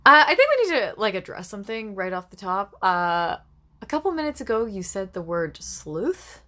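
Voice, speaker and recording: Animated, teasing woman podcaster. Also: medium pitch, moderate pace. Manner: animated, teasing, laughs often, many "like" fillers. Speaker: podcast co-host. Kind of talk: casual podcast chat.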